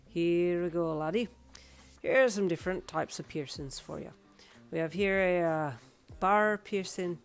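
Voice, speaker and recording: A woman's voice: on the low side, a little slow, clean tone, faint music behind. A woman voicing a friendly, chummy Irish man who works as a piercer and tattoo artist in a narrated story. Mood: cheerful, chatty. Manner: chummy, colloquial, cheery.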